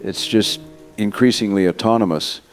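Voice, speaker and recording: A man's voice: on the low side, fairly even intonation, normal speed, soft background music, close to an everyday voice. A man playing a cautious, grave scientist giving careful, earnest answers in a scripted news interview drama. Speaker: expert interviewee.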